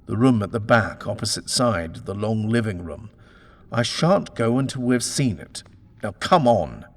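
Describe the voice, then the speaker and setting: A man's voice: fairly low pitch, lively intonation, measured pace, big-room sound. A man voicing an arrogant, short-tempered house-buyer in a storybook reading, imperious, scornful and exasperated. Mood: demanding.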